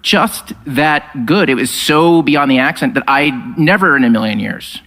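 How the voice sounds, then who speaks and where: Male co-host, enthusiastic storyteller with a bright voice and wide pitch swings. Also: expressive, normal speed. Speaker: co-host, screenwriter. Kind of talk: casual onstage anecdote. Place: on stage.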